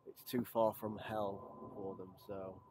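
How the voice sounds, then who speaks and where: Man, thirties, lowish voice, upbeat casual vlog chat, outdoors, handheld camera, clear background noise. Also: level tone, slow pace. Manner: upbeat, easygoing, chatty with fillers. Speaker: hiking vlogger. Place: outdoors on a mountain trail. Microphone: action camera held at arm's length.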